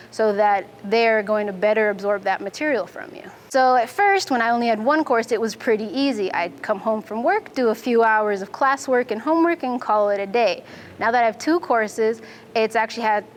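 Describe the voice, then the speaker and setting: A young adult woman from Fiji, a Navy instructor, answering interview questions in a clear, methodical way. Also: mid-range voice, slight background noise. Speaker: Navy nuclear instructor and student.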